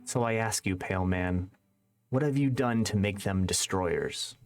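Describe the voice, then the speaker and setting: A solemn elder-like teller of island legends, acted out by a man, speaking gravely and deliberately. The delivery is a reverent, reproachful fantasy story reading with faint music behind it. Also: sits low, pitched below the performer's own voice. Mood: grave, accusing.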